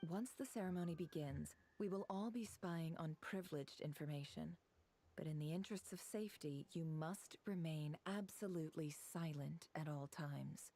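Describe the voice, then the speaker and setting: A woman playing a composed, shrewd leader in an anime game, speaking in a measured, formal, commanding way. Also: sits low, warm tone. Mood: grave, instructive.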